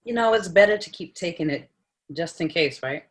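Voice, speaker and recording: A woman's voice: fairly low pitch, expressive, a little fast, lightly acted. Dry, brusque lady attorney read by an actress. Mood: guarded. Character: Molly, stressed, guarded young woman attorney. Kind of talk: table read of a TV comedy script.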